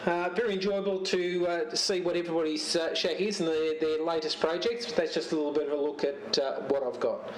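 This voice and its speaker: A roomy, noisy recording picks up a man some distance from the mic. His voice sits a little high for a man. He talks casually and off the cuff, pausing to find his words like a hobbyist showing his gear. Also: steady tone. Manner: halting, searching for words as he handles gear. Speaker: amateur radio and TV hobbyist. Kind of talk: casual unscripted show-and-tell of a home radio setup. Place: home radio shack.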